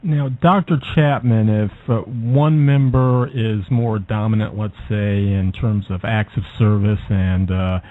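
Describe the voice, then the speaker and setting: A male radio host asking long, deliberate interview questions with some hesitant fillers. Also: fairly low pitch, fairly even intonation. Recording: tinny phone line.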